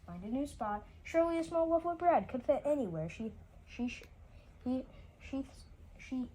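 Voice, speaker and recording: A woman's voice: sits high, very animated, moderate pace, warm tone, a little hiss or hum. A woman reading a rhyming children's story aloud, often stumbling and repeating words as she goes.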